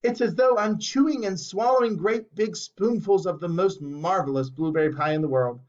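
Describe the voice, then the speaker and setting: A man's voice: high-pitched, normal speed, lightly acted. A man voicing a greedy, bossy young girl who talks in a pushy, gleeful way, in a muffled recording.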